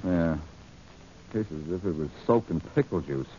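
An actor playing a cocky, glib amateur detective in a 1940s radio drama. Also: male voice, low voice, animated, moderate pace. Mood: wry, teasing. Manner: glib, wisecracking. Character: wisecracking, cocky amateur detective outwitting police. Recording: muffled, noisy old radio recording with clicks.

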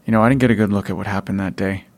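A protective, grieving father in a post-apocalyptic audio drama, acted by a man. His voice is lowish and creaky, with very little rise and fall. He talks in an understated, hesitant way. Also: fairly even intonation, racing, close to an everyday voice. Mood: subdued.

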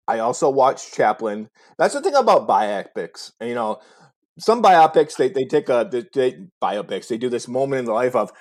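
A man's voice: mid-range voice, a little mellow. Rambling, profane man recommending movies. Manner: rambling, profane, restarts often. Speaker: podcast co-host.

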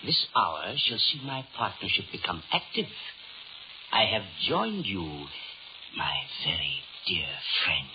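An actor playing a suave devil posing as a stranger in 1930s radio horror, speaking formally and deliberately with ominous courtesy. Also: male voice, fairly low pitch, huge pitch swings, heavily acted. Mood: ominous, grandiose. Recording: muffled, noisy 1930s radio recording.

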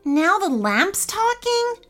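A woman voicing a protective, often exasperated young girl, a big sister, in an expressive, exclaiming way for a children's story. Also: sits high, takes it slowly, faint music behind, voice pushed up, exaggerated voice. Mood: shocked.

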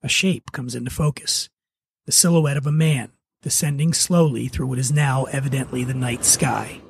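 A man's voice: medium pitch, fairly even intonation, moderate pace, gravelly, husky. A man hosting a podcast, talking casually and narrating a script. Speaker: podcast host and writer.

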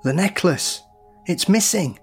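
A man's voice: high voice, expressive, slow pace, faint music behind, heavily acted. A man playing a grieving, defensive brother, a worn-out diver, in blunt, terse audio drama acting. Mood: anxious.

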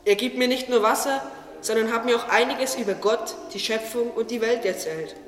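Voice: medium pitch, normal speed, close to an everyday voice. An actor playing a naive, curious talking tree in a religious radio play, earnest and questioning. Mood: grateful, happy. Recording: echoey, distant, long reverb, music behind.

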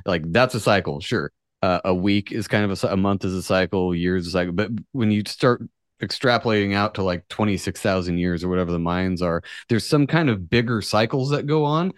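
Man, podcast host, lower-pitched voice, casual musing delivery, close dry sound. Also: brisk, no echo. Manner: musing, speculative, swears casually. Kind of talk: casual conversational podcast talk.

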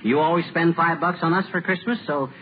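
Man playing tightfisted wisecracking American husband, slangy bluster, fast, slightly high voice, old radio comedy, muffled recording. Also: slight background noise, close to an everyday voice. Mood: scheming, casual. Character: cheeky, miserly middle-aged husband, wisecracking. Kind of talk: 1950s radio comedy acting.

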